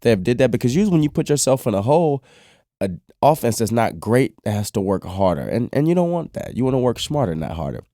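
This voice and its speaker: A man's voice: mid-range voice, big pitch swings, fast, dark tone, no room sound, studio quality. A man giving conversational sports analysis, making his points with emphasis. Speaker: sports commentator.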